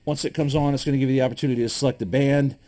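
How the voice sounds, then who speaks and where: A man from Michigan talks through survival gear in an easygoing, unscripted way. He stumbles and restarts often and fills pauses with ums. His opinions come out plainly. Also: medium pitch, fairly even intonation, dark tone, a little hiss or hum. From Michigan, USA. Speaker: preparedness gear reviewer. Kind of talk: casual gear review to camera.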